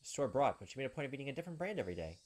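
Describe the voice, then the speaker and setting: Let's play host, American man, medium-pitched and brisk, casual narration of game text. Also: big pitch swings. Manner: informal, salty-tongued. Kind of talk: reading game script aloud with casual asides. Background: faint game music.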